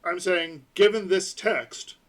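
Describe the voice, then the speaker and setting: A man co-hosting a casual tech podcast, explaining things step by step and slowing down on numbers. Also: sits high, fairly bright tone. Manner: explanatory, breaks numbers into slow pieces. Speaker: podcast co-host.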